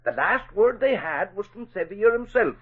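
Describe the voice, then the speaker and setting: Nosy newspaper man, high husky voice, swooping folksy grumble, 1940s radio drama. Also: big pitch swings, normal speed, heavily acted. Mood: blustery. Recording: dull vintage 1940s radio recording.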